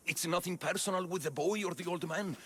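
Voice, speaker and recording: A man's voice: sits high, a little fast, very noisy, close to an everyday voice. An actor playing a cowardly cult henchman who stammers and pleads frantically under threat. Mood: panicked. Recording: orchestral game score underneath.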